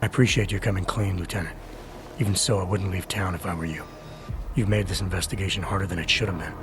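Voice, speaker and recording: An actor playing a stern, composed agent in a video game, questioning a suspect in a controlled, probing way. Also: male voice, deep voice, fairly even intonation, quick pace, over music. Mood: measured warning. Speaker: investigator. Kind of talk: video game dialogue acting.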